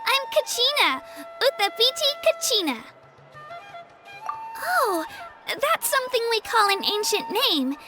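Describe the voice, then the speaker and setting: A woman's voice: extremely high pitch, big pitch swings, unhurried, heavily acted. A woman voicing a sweet, self-doubting young girl warrior in a video game, earnest, polite and a little hesitant. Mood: welcoming, eager. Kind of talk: English video game voice acting. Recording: music behind the voice.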